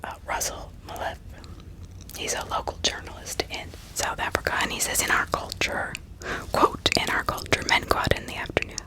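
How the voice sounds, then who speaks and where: A woman narrating true-crime stories in an ASMR style, in a breathy, unvoiced whisper with sympathetic, dramatic storytelling. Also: normal speed, low-quality recording. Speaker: ASMR host.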